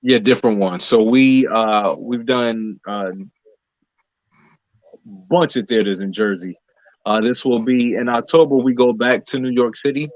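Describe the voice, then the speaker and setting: American guy being interviewed, mid pitch, explains stuff in measured chunks, bit lo-fi and dry. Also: fairly even intonation, no echo. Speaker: playwright, director and actor interviewed as guest. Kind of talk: conversational interview answers.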